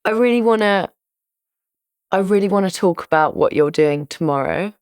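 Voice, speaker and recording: A woman's voice: mid-range voice, expressive, normal speed. A woman hosting a podcast, asking questions and encouraging her guest in a conversational way. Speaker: podcast host. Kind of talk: interview chat.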